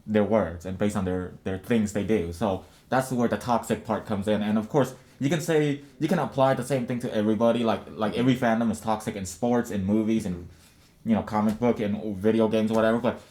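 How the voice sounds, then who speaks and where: A low-voiced man leads a relaxed podcast chat. He talks in loose, rambling runs and keeps restarting his sentences as he goes. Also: fairly quick. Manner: rambling, many restarts and fillers. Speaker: podcast co-host. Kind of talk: casual podcast discussion.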